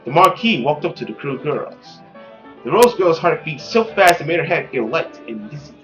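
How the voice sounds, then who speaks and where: A narrator reads a visual novel aloud in a dramatic storybook style. The intonation is very animated. The recording is slightly dull, with faint music behind. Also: mid-range voice, normal speed.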